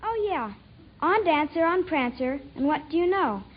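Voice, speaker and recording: A woman's voice: sits high, animated, moderate pace. A woman playing a playful, teasing young daughter in an old radio comedy routine. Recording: dull old radio recording before audience.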